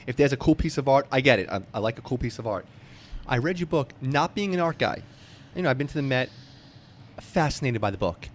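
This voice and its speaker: A man's voice: mid-range voice, animated, fairly quick, slight background noise. An American man hosting a casual interview, chatty, effusive and plain-spoken. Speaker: interviewer, show host.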